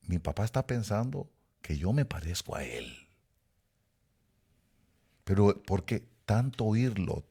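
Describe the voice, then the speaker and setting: A man from Costa Rica with a Guatemalan Spanish accent, preaching a sermon and telling personal stories. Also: husky. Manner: animated storytelling with sudden rises in pitch and volume.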